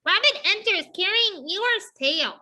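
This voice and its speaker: A woman's voice: very high voice, expressive, measured pace, sharp tone, full character voice. A woman narrating stage directions for a dramatized play reading.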